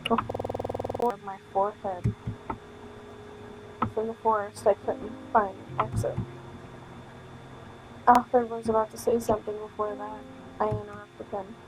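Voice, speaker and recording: A woman streaming a visual novel, reading the text aloud with halting delivery and casual commentary. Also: mid-range voice, flat delivery, background noise. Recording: music playing behind the voice. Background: music.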